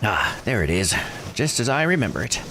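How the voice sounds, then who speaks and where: A man voicing a kindly old island grandmother in a visual novel, folksy, unhurried and dryly joking. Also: lowish voice, sing-song, background noise, pitched above the performer's own voice. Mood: fond, calm. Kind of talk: visual novel character read aloud.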